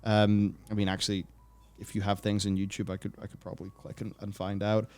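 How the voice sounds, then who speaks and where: A man's voice: on the low side, monotone, a little fast, a little mellow. A man hosting a casual gaming discussion, reading and answering viewer comments in a rambling way as he thinks aloud.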